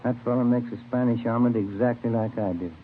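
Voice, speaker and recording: An American actor playing an easygoing police detective, the sergeant's partner, conversational and matter-of-fact in a 1950s radio drama. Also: male voice, medium pitch, steady tone, quick pace, lightly acted. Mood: casual. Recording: noisy, muffled old radio broadcast.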